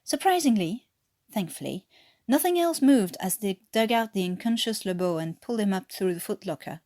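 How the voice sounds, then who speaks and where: A woman from France reads a story aloud in a French-accented voice of medium pitch. Her delivery is that of an expressive amateur narrator, with an occasional stumble over a word. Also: normal speed. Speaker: amateur audiobook reader.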